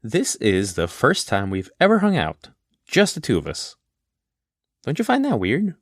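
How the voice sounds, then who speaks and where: A man's voice: mid-range voice, wildly swooping pitch, a little slow, no room sound, studio quality, exaggerated voice. A man playing a friendly, frank, well-off young man in an audio drama. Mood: friendly, earnest. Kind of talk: audio drama character acting.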